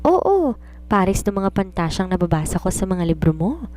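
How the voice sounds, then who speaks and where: A woman's voice: fairly low pitch, very animated, moderate pace, warm tone. Give a naive young housemaid from a romance audiobook, acted by a woman narrator. She is curious and dreamy, asking her questions eagerly and with respect for her elder. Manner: inquisitive, respectfully addressing an elder. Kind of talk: audiobook character dialogue.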